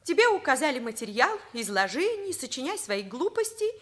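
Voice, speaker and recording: A woman's voice: high voice, big pitch swings, brisk, a bit bright, exaggerated voice. An actress playing an outspoken, cheeky teenage schoolgirl in a Soviet radio drama, candid and argumentative. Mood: indignant.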